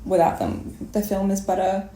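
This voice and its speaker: A woman interview host with a soft-edged, mellow voice at average pitch. She speaks conversationally, appreciative and articulate. The room echoes noticeably around her. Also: fairly even intonation, normal speed. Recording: roomy, echoing sound.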